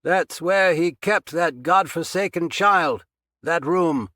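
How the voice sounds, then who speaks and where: A man's voice: fairly high pitch, slow pace, pushed and bright, no echo, clean studio sound, voice pushed up. A man voicing a gloating, grandiose villain. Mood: bitter. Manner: raised, grandiose, taunting delivery. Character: menacing, pompous male villain, gloating and cold.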